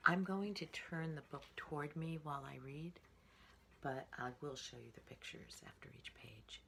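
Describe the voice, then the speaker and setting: Low-voiced woman in her sixties, expressive reader. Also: moderate pace, gravelly, a little hiss or hum. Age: 60s. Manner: expressive, sing-song rhythmic reading. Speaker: storytime reader. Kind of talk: reading a picture book aloud to children.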